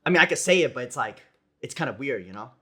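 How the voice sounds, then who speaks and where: Young man, American accent, bright clear tone, casual rambling chat, interview guest. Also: mid-range voice, fast talker. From United States. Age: young adult. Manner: relaxed, rambling, many "like"s and "you know"s. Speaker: esports player guest.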